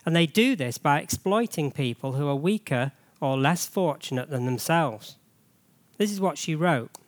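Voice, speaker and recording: A man gives a chatty, joking church sermon while fighting a cold that has nearly taken his voice. His speaking voice sits somewhat high. The sound is dry and close. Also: animated, moderate pace, dry recording. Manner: chatty, jokey, frequent rhetorical questions. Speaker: church treasurer giving a sermon.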